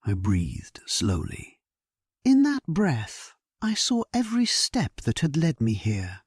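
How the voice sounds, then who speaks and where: A man giving a solemn, measured and theatrical first-person narration of a scripted dramatic sleep story. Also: a little high, wildly swooping pitch, husky, dry recording, clean studio sound.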